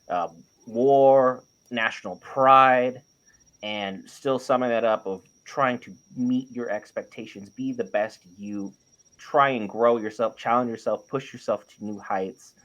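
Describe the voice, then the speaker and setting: A man's voice: medium pitch, a bit bright, highs cut off. A man co-hosting a panel, giving emphatic, opinionated takes in a casual debate and building his points in lists. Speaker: panel show co-host. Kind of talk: casual opinionated debate about animated series.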